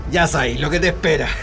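High-pitched, taunting Chilean soldier played by a man. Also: background noise, lightly acted. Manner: taunting, curt commands. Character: sadistic, taunting male soldier guarding a prisoner. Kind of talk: radio drama acting.

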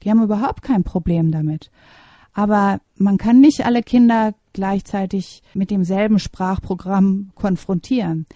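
A middle-aged woman and mother, speaking as an interview guest and teacher, giving thoughtful, measured answers with small hesitations. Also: medium pitch, dark tone, dry recording, studio quality.

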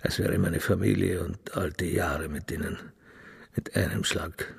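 Gravelly, bitter old father. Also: low voice, steady tone, unhurried, exaggerated voice. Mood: bleak, wounded. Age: older. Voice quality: husky, gravelly. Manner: brooding, rhetorical, repeating himself. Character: bitter, domineering old father, wealthy and traditional. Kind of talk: radio play monologue.

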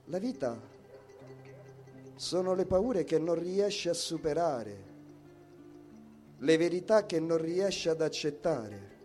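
Slow, mellow man reciting poetry. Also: fairly high pitch, animated. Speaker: poetry reader. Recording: soft guitar music behind. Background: plucked guitar music.

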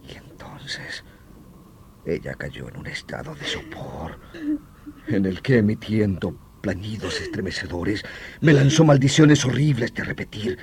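Anguished madman confessing, wildly swinging voice. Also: mid-range voice. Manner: theatrical, halting, swinging from whispers to outcries. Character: anguished, deranged man confessing he killed his beloved. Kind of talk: melodramatic Spanish-language radio horror acting.

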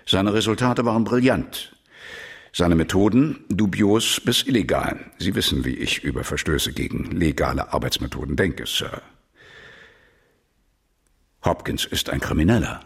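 An actor playing a frank, pragmatic police supervisor in a radio drama, with a gravelly voice and clipped, deliberate delivery. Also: male voice, deep voice, heavily acted. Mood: frank, critical. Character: frank, hard-nosed male police supervisor, cool and pragmatic. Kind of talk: German radio drama acting.

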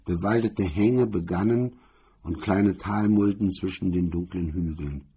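A man's voice: sits low, a little slow, creaky, dark tone, husky. A man narrating a scripted crime story as its reader.

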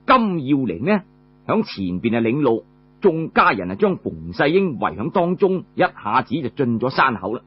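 Husky Cantonese male storyteller, animated pitch. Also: normal speed, highs cut off. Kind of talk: traditional oral storytelling, audiobook narration.

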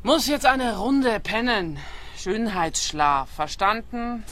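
A woman's voice: on the high side, takes it slowly, a bit bright. A woman playing a distrustful, abrasive squatter from the streets in a radio play, speaking in curt, coarse, combative bursts. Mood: curt, scornful.

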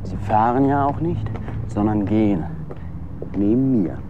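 A low-pitched young man speaks over clear traffic noise. He is a naive, hopeful dreamer, earnest and friendly, gently persistent in a radio play. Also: animated, measured pace, phone-quality sound. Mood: puzzled, friendly. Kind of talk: German radio drama acting. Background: city street ambience with vehicles.

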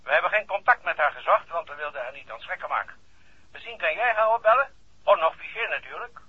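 An actor playing a brisk, authoritative titled police chief over a telephone in a 1960s radio drama. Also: male voice, a little high, animated, breathy edge, no room sound. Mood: brisk, confidential. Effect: telephone filter. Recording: tinny, narrow old radio phone line.

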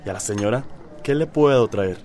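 An actor with a Costa Rican accent playing a polite, obliging café waiter in a radio soap. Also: male voice, fairly low pitch, animated, brisk, darkish tone, natural voice. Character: courteous, eager-to-please cafe waiter.

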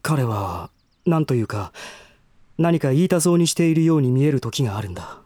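Breathy, troubled young gentleman. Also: mid-range voice, big pitch swings, brisk, dry recording, studio quality. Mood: guarded, hesitant. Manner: hesitant, introspective, formal. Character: troubled, reserved young gentleman brooding over his half-brother. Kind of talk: Japanese voice drama acting with inner monologue.

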